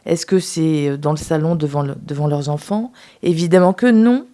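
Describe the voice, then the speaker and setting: A woman who is a sex therapist, a guest on a radio talk show, speaking in a measured, thoughtful way with some hesitation. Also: deep voice, warm tone. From France.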